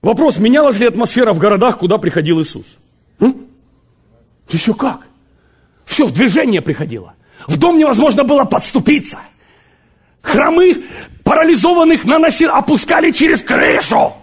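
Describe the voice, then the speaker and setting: A man preaching a sermon, joking and animated, with sudden shouts and laughter. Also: very high voice, moderate pace, long pauses, slightly rough.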